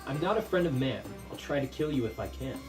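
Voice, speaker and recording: Man, mid-pitched voice, theatrical scripted narration, measured literary delivery, distant microphone. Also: expressive, music in the background. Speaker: puppet show narrator. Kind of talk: dramatic storytelling, scripted narration. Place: live puppet show performance, room. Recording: distant microphone with faint room noise.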